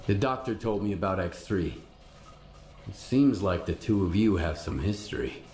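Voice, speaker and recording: A man's voice: low voice, animated, moderate pace, a little mellow. A man acting a wary, weary mercenary in a video game cutscene, terse and guarded in his speech. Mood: guarded, questioning. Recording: roomy, echoing game audio.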